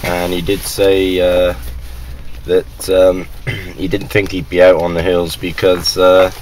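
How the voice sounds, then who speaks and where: A man's voice: low voice, monotone, slow, darkish tone, a little hiss or hum, low-quality recording. A man making casual amateur radio contacts, working down a list in radio jargon. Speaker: radio operator, video maker.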